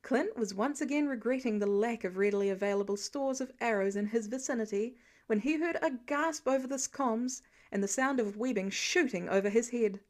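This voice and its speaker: A woman reading fan fiction aloud as an expressive, wry storyteller. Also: on the high side, a little fast. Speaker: amateur audiobook narrator. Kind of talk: scripted fiction narration.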